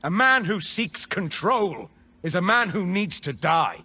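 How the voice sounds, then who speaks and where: A man playing a vengeful escaped mage prisoner who leads a rebellion, with dramatic, deliberate video game delivery and a rough, husky voice. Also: sits high, animated, bright, forceful tone, heavily acted. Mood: defiant. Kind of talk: theatrical video game voice lines.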